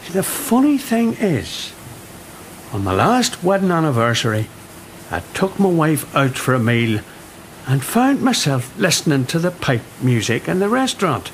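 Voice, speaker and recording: A talkative foreman in a radio play, a friendly storyteller. His voice is fairly high and lively in pitch, with faint noise behind. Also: normal speed. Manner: chatty, storytelling. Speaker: Mister Jennings, mill foreman. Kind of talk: radio drama acting.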